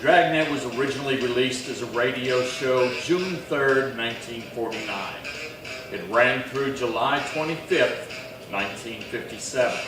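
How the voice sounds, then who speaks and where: A man working as announcer for a radio drama, reading a script in a formal, measured way. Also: medium pitch. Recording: echoey room, distant mic. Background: music.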